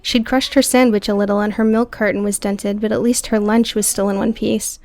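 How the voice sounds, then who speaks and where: A woman's voice: medium pitch, little pitch movement, a little fast, darkish tone. A woman reading scripted audio book narration as the narrator.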